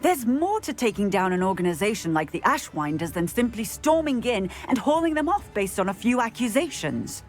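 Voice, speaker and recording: A woman's voice: mid-range voice, big pitch swings, moderate pace, few pauses, faint music behind, close to an everyday voice. A woman voicing a stern, firm officer. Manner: firm, authoritative. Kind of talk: video game cutscene acting.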